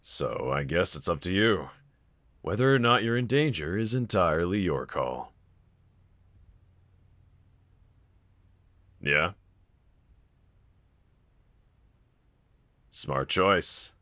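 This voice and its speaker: A very low-pitched, sardonic villain, acted out by a man in an intimate audio roleplay. He drawls casually and teasingly, with a bright, edgy tone and a quiet vulnerability underneath. The sound is close and dry. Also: very animated, measured pace, no room sound, heavily acted. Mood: exasperated, coolly menacing. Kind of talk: fan audio roleplay, intimate one-sided dialogue.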